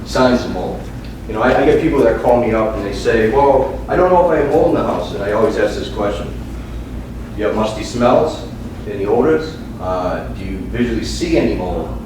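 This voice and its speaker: Boston guy giving a halting slide talk, lots of uhs, noisy echoey meeting room. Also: on the low side, lively intonation. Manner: casual, halting, fillers while reading slides. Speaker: presenter. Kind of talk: office presentation. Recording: echoey room from far off with noise.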